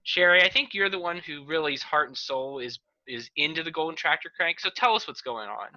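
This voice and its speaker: A man's voice: on the high side, expressive, a little fast, pushed and bright, dry recording, dull audio. A man hosting a casual video-call chat, making dry, teasing wisecracks. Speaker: host.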